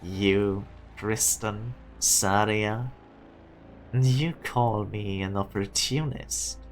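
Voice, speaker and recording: A man playing an ambitious, proud self-made businessman, deliberate and speechifying, in a fan-dubbed game drama. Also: on the low side, fairly even intonation, a little hiss or hum. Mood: proud, declarative. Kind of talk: scripted fan-dub game drama monologue.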